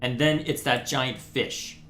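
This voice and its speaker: A man's voice: mid-range voice, sharp tone, soft background music. Man musing aloud in an echoey room. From Texas. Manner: thinking aloud, musing and unhurried. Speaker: livestream host playing a game. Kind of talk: casual live gameplay commentary.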